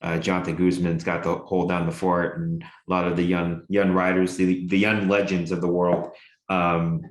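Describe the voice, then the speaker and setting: A young man with a very low, deep voice talks through a college football preview in a loose, rambling way, full of "uh" and "you know" between his points. He sounds like he is in an echoey room. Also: monotone, normal speed. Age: young adult. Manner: rambling with many fillers, enthusiastic. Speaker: sports show co-host and radio broadcaster. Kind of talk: casual sports chat.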